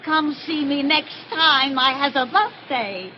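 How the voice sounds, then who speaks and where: A woman's voice: high-pitched, expressive, slow pace. A woman playing a gleeful, macabre witch over a hundred years old, cackling and folksy, hosting old-time radio horror tales. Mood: sly folksy. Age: very old, as played. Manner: folksy rustic grammar, relishing the macabre. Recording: muffled, hissy vintage radio recording.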